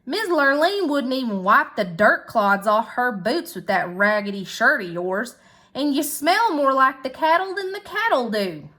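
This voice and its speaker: A woman's voice: sits high, big pitch swings, a little slow, sharp tone, heavily acted. A woman voicing a sneering young cowboy stepbrother in a storybook, mocking in country dialect.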